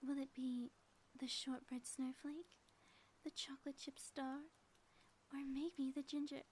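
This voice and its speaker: A high, softly mellow voice of a woman acting as a gloating villainess in a roleplay. She speaks in florid, grandiose phrases with playful menace. There is faint noise behind her. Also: little pitch movement, a little slow. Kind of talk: scripted audio roleplay monologue, ASMR.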